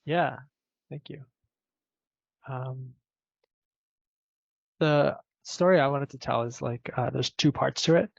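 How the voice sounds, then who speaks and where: Gravelly man casually telling a story. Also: mid-range voice, slow pace, long pauses, dry recording. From Ukraine. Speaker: program participant telling his story. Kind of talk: casual personal storytelling on a group call. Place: video call. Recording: dull, close, dry video-call audio.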